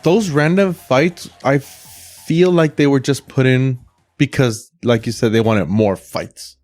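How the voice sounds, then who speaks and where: A man's voice: mid-range voice, slow, darkish tone, no echo, soft background music. A man co-hosting a podcast, discussing movies in an agreeable, conversational way. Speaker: podcast co-host. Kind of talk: casual movie review chat.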